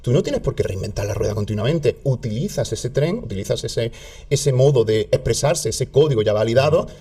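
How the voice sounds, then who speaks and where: A man with a southern Spanish accent, a guest in a casual interview, talking in an animated way with many restarts. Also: mid-range voice, a little fast. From southern Spain. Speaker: health content creator guest.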